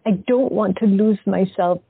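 A woman voicing a gentle, calm young patient who has visions, speaking in a soft, earnest way in an audio drama. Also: mid-range voice, moderate pace, gravelly, slightly rough, no room sound. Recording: dry, thin phone-like sound.

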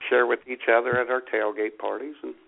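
An American man bantering casually as a talk show co-host, gravelly and wry. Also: medium pitch, flat delivery, fairly quick. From United States. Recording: thin phone-like radio audio.

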